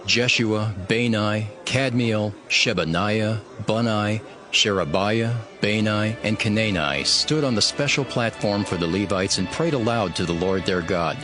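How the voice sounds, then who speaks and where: Low-voiced man narrating over music. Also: unhurried, hardly any pauses. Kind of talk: scripted audio Bible narration.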